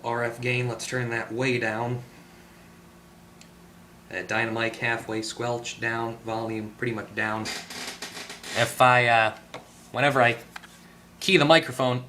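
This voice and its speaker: A man giving a casual hands-on electronics repair tutorial, tentative and thinking out loud as he goes. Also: mid-range voice, slight background noise. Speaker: repair video host. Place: at a workbench indoors.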